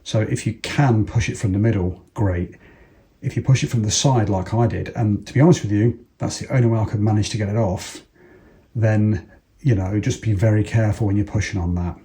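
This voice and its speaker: A British man with a low-pitched voice presents a practical how-to. He explains each step casually as he works through it. His delivery is informal and unhurried, as though he is thinking aloud. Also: steady tone, dark tone. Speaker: presenter of a product installation tutorial. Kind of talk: casual step-by-step hands-on demonstration.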